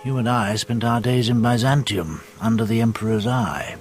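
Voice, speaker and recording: An actor playing a knowing storyteller who narrates Roman intrigue in a radio drama in a measured way. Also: male voice, mid-range voice, lightly acted. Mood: knowing, reflective.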